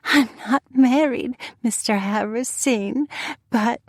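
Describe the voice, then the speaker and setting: A coy spinster with a nasal drawl, pitched high and stretched slow. She gushes and flirts in a storybook reading, dry and close. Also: no echo.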